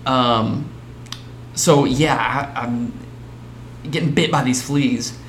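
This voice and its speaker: A man's voice: medium pitch, takes it slowly, fairly bright tone. A man with a Texas accent, chatting casually on a podcast and telling stories, with frequent laughs and restarts. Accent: Texan. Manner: laughs often, trailing restarts. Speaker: podcast host. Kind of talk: casual chat, storytelling. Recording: echoey room, faint background hiss.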